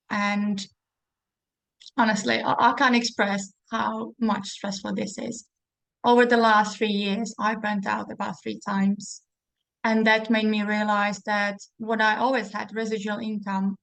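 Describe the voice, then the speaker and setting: A woman's voice: medium pitch, creaky, no room sound, rough recording. This is a woman with a Czech accent, hosting an informal interview. Her talk is loose and chatty, full of small fillers and restarts. The sound is dry and close, with no room around it. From Czech Republic. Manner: chatty with frequent fillers and restarts, laughing now and then. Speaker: host. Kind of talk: casual interview chat.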